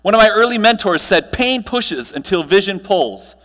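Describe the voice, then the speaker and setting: An American man in his thirties giving a motivational conference keynote, projected and emphatic, with deliberate pauses and rhetorical questions. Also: high voice, expressive, a bit bright. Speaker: keynote speaker and trainer. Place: large hotel ballroom stage. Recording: long hall reverb from ballroom PA.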